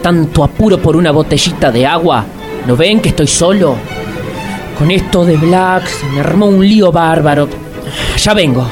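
An actor playing a harried, smug waiter, formally polite and defensive, with a Buenos Aires accent. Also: male voice, fairly high pitch, moderate pace, music in the background, natural voice. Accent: Argentine, Buenos Aires. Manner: ceremonious, defensive. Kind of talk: comedic audio drama acting.

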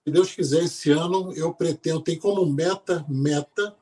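A man from Brazil, a sports psychologist guest, talking in a relaxed, chatty way in a casual podcast interview and often restarting his phrases. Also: fairly high pitch, normal speed. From Brazil, born in Salvador, raised in Rio de Janeiro.

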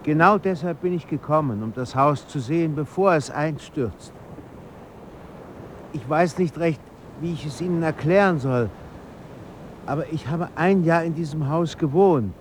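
An actor playing a polite, pensive but insistent writer in a radio drama. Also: male voice, on the high side, measured pace, a little hiss or hum, lightly acted. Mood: earnest, insistent. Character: polite, pensive, insistent male writer revisiting his past.

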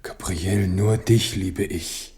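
An actor in a German radio drama playing a loving, reassuring king, speaking softly and intimately. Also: low-pitched, fairly even intonation, takes it slowly, crowd noise. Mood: gentle concern. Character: tender, reassuring king in love with his mistress.

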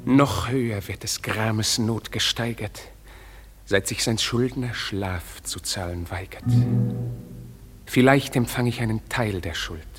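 An actor playing a wounded, lovesick young Athenian suitor in a radio drama, declaiming verse in a pained manner. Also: male voice, fairly low pitch, slow, over loud music. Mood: weary resigned. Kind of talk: classic stage-verse radio drama acting.

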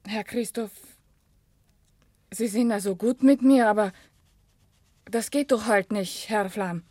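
A woman's voice: mid-range voice, takes it slowly, mellow, no room sound. An actress playing an earnest, plain-spoken young village working woman with a Silesian accent in a German radio play. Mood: earnest, resigned.

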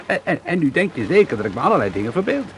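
An actor playing a friendly, forthright art teacher on holiday in a naturalistic radio drama. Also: male voice, on the high side, big pitch swings, very fast, a little hiss or hum. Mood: chatty, puzzled.

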